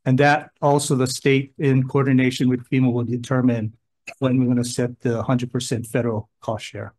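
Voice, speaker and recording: A man's voice: mid-range voice, flat delivery, moderate pace, a little mellow. A man speaking as a state emergency official at a legislative hearing, explaining plans in a plain, conversational way. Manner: plain-spoken, conversational, gives examples. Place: hearing room.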